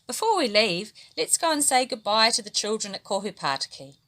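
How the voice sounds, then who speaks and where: A woman voicing a kind, wise female eel in a children's storybook reading, speaking gently and calmly. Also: mid-range voice, a little fast.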